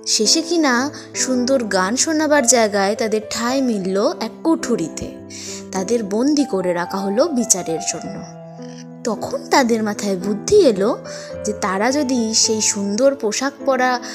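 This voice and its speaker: Animated high-voiced woman storyteller over music. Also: measured pace. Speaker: host and narrator. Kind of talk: expressive scripted audio storytelling. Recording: music under the voice. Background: background music.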